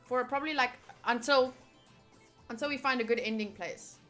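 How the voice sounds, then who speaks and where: A woman's voice: a little high, normal speed, a bit bright. A woman livestreaming a game, chatting casually and reading game text aloud as she reacts to it and to viewers. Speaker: streamer playing a visual novel. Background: game music.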